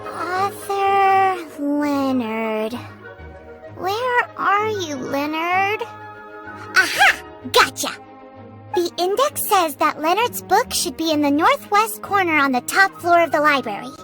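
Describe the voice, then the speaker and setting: Tiny game fairy girl, extremely high squeaky voice, swooping intonation, chirpy, excitable, childlike. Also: takes it slowly, music in the background, full character voice. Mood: curious, chirpy. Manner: chirpy, refers to self in third person, exclaims. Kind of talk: animated video game voice acting.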